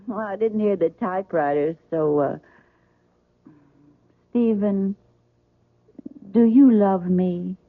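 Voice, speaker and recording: An actress playing an earnest, anxious young wife with a direct but hesitant way of asking, in an old-time radio drama. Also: female voice, mid-range voice, slow pace, pauses often, phone-quality sound. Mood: tentative, concerned. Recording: thin, band-limited old radio recording.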